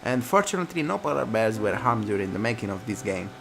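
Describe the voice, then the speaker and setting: A man's voice: on the low side, big pitch swings, fast, vocal fry. A man reading a visual novel aloud and commenting casually as a gaming host, with guitar music behind him. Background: plucked guitar music.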